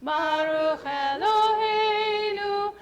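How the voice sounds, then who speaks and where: A woman cantor singing a prayer unaccompanied with slow, sustained chanting. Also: very high voice, muffled recording, faint music behind. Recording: distant mic, echoey room, singing.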